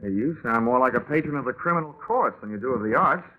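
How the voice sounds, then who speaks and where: A man playing a wisecracking, sleepy insurance investigator in a deadpan 1940s radio detective drama style. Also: mid-range voice, fast, lo-fi sound, close to an everyday voice. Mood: teasing. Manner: wry, deadpan. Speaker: narrator and lead detective character. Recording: band-limited vintage 1940s radio recording, music.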